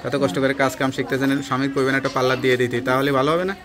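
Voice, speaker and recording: A man's voice: medium pitch, completely flat delivery, rapid-fire, crowd noise. A man with a Bangladeshi accent conducting an informal interview as the presenter.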